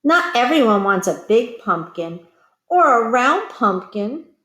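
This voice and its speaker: A woman's voice: on the high side, sing-song, takes it slowly, fairly bright tone, reverberant, pitched above the performer's own voice, full character voice. A woman voicing a small, wistful little boy pumpkin from a storybook, plaintive and earnest. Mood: protesting.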